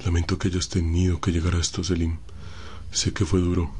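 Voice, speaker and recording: A man playing a calm, grave, mysterious ally of vampires in an amateur audio roleplay, solemn and measured. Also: sits low, slight background noise, lightly acted.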